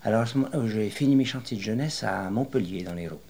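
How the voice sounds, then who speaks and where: A retired man in his late sixties from western France, telling his life story in an interview, rambling and hesitant with many pauses. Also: lowish voice, creaky, mellow. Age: 60s. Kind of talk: oral history interview.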